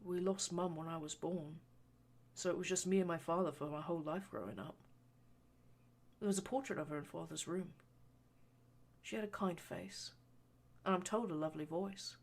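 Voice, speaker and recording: A woman doing a soft-spoken, caring young man. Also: on the low side, normal speed, long pauses. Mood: gentle. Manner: soft, comforting, quiet. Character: gentle young male adventurer, caring friend.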